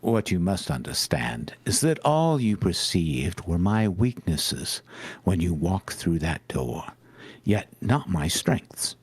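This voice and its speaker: A man voicing a smug, menacing captor who gloats formally and deliberately in a story reading. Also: low-pitched, very animated, husky, no room sound, full character voice. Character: smug, menacing male captor gloating over his prisoner.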